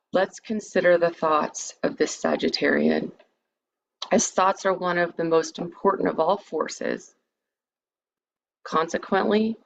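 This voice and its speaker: A woman leading a guided meditation in a soothing, measured, invitational manner. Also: deep voice, vocal fry. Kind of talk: guided meditation, part improvised, part read lecture. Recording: dull, lo-fi sound with background noise.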